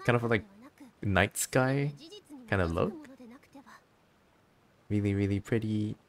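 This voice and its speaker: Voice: mid-range voice, takes it slowly. A streamer chatting casually over a game, with a giggly, easygoing way of commenting.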